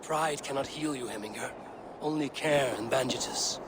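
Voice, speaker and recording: An actor playing a loyal, steady Viking warrior in a video game, speaking in a measured, earnest way. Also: male voice, mid-range voice, very noisy, close to an everyday voice. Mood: concerned. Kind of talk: cinematic game acting.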